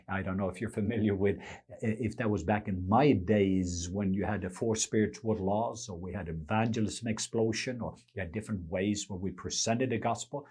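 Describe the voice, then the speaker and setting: Norwegian guy preaching on his podcast, kinda husky voice, earnest and slow, english is his second language. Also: lowish voice, darkish tone. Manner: earnest, slow and deliberate, with non-native phrasing. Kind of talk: unscripted teaching monologue.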